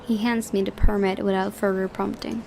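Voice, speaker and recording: A woman's voice: mid-range voice, little pitch movement, moderate pace, creaky, warm tone, slight background noise. A woman hosting a gaming video, reading visual novel narration aloud and adding casual commentary.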